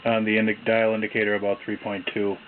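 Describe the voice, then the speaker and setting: A man casually demonstrating a vintage radio, reading out frequencies in a halting mutter. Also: on the low side, monotone. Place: indoors, beside a shortwave radio on a table. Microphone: camera mic, off-screen speaker. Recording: tinny lo-fi camera audio, loud radio static. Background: shortwave radio static and stations.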